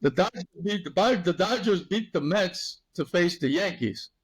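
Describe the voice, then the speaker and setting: A man's voice: sits high, expressive, moderate pace, breathy edge. Chatty New York-raised man hosting. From Long Island City, New York. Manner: friendly, chatty, stumbling restarts. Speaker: podcast host. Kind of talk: casual interview chat.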